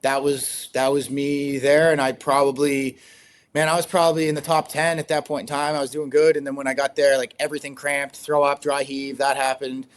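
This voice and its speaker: A young man with a slightly raspy voice, talking casually as an interview guest, rambling with lots of fillers and frequent laughs. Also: medium pitch, little pitch movement, a little fast. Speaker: guest, ultrarunner.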